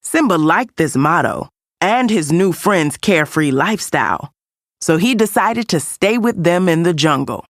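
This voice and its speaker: A woman reading a scripted children's read-along story as an expressive storyteller. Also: fairly low pitch, unhurried, no echo, clean studio sound. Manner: expressive storytelling with character voices. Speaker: storybook narrator.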